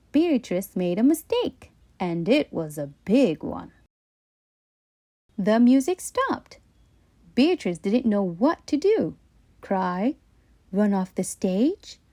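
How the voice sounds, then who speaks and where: A woman reading a children's bedtime story aloud in an expressive narrating style. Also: mid-range voice, no echo.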